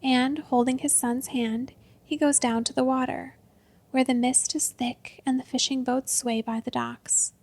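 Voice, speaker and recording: A woman reading a short story aloud as narrator, often dropping to a hushed, breathy whisper. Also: a little high, no room sound.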